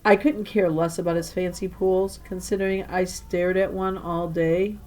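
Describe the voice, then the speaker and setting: A woman reading a children's novel aloud like a teacher to her class, with animated storytelling and emphatic phrasing. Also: on the low side, normal speed, poor audio. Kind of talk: expressive children's novel narration.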